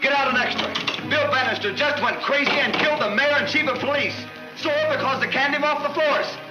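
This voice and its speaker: An excited newspaper reporter in a 1940s film serial. The slangy voice is extremely high and piercing, and the old soundtrack is dull. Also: male voice, brisk, faint music behind, heavily acted.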